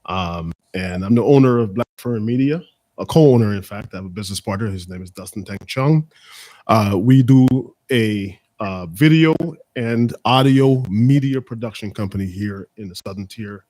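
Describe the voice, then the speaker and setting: Low-voiced Caribbean-raised man, dry recording. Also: moderate pace, a little mellow, no room sound. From Guyana and Saint Lucia. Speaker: media company co-owner. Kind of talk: casual self-introduction in a group.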